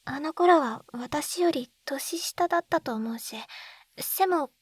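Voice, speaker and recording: A woman playing a shy, lovestruck schoolgirl in an intimate ASMR roleplay, speaking breathily and haltingly, close to a binaural microphone. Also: high voice, dry recording. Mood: soft, wistful. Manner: breathy, halting, often dropping into whispers. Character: lovestruck schoolgirl reunited from another world, shy and tender. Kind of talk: Japanese ASMR roleplay, intimate situation voice acting. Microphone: close binaural dummy-head microphone.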